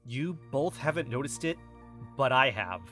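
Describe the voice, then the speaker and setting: Man playing a paranoid, agitated podcaster, bright forceful voice. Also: medium pitch, expressive, normal speed, faint music behind, close to an everyday voice. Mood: intense, paranoid. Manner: urgent, insistent, dwelling on suspicions. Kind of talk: audio fiction podcast dialogue.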